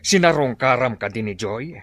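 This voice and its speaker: A man's voice: mid-range voice, animated, moderate pace, a bit bright, dry recording, natural voice. Man acting a stern, sarcastic Filipino husband over music. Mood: scornful, scolding. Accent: Ilocano Filipino. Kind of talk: Ilocano audio drama acting.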